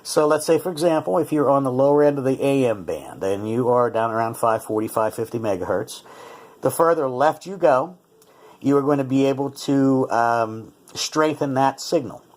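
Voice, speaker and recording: A man's voice: mid-range voice, lively intonation, moderate pace. A man giving a casual, explanatory product demonstration as a reviewer, conversational and often correcting himself. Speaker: radio reviewer.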